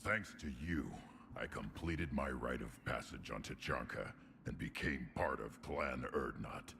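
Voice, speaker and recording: A man voicing a brash, proud young alien warrior commander in a video game, gruff and growling in blunt, clipped phrases. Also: a bass voice, unhurried, background noise, full character voice. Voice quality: gravelly, growling.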